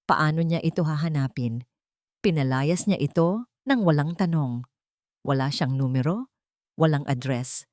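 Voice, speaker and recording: A woman's voice: low voice, measured pace, pauses often, a little mellow, no room sound, studio quality. A woman storyteller reading dramatic scripted narration for an audio drama.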